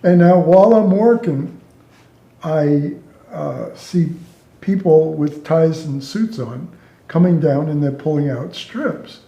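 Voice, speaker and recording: A man's voice: on the high side, takes it slowly, creaky, dull audio. An older man, a retired air traffic controller, casually telling amused anecdotes and acting out bits of dialogue. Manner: amused, chuckles through own stories, reenacts dialogue. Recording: roomy, echoey sound.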